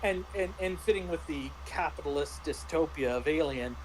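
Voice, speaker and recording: A man's voice: fairly high pitch, moderate pace, poor audio. Man chatting over noticeable background noise. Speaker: game master and panelist on a review show. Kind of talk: casual chat recapping a tabletop roleplaying game.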